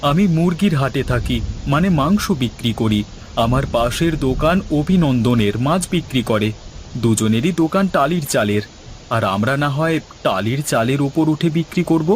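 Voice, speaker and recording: A man's voice: mid-range voice, animated, normal speed, slight background noise, natural voice. A man voicing a resourceful village chicken seller in cartoon dialogue, earnest, confident and respectful. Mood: earnest, determined.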